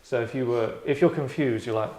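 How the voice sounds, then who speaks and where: A man hosting and directing a table read, chatting casually in a tongue-in-cheek acting critique, deadpan, rambling and self-satisfied. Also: medium pitch, a little fast, a little hiss or hum. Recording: roomy, echoey indoor sound.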